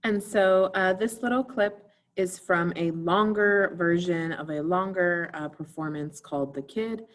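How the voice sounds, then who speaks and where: Lowish-voiced woman teaching a recorded class. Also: a little slow, hardly any pauses. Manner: instructive, addressing students directly with questions. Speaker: theater teacher. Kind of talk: informal recorded video lesson for students.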